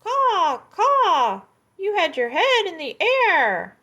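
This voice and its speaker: High squawking crow. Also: female voice, wildly swooping pitch, takes it slowly, bright, forceful tone, muffled recording, full character voice. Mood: squawking, nosy. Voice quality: cawing, squawking. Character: squawky, nosy talking crow.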